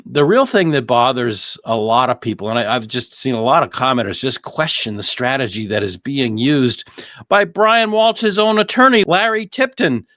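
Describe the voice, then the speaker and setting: A man's voice: high voice, bright, forceful tone, no echo. A man hosting conversational legal commentary on a criminal trial, repeating phrases for emphasis. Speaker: host of a legal commentary show.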